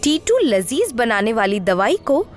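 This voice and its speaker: Lively high-voiced woman storyteller. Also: unhurried, soft background music. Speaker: narrator. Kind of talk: scripted children's story narration.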